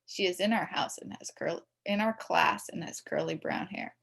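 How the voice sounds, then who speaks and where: A woman's voice: lowish voice, normal speed, gravelly, fairly bright tone, slightly rough. A woman reading a children's story aloud in a theatrical, emphatic way, as a mischievous child telling it. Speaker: storybook reader. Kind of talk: expressive scripted children's story narration.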